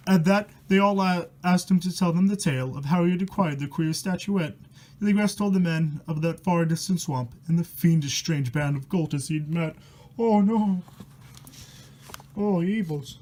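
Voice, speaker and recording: High pitched guy doing dramatic story time, reads aloud w casual crude asides, fake horrified. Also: dark tone. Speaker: storyteller reading a book aloud. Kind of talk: storybook reading with casual ad-lib commentary.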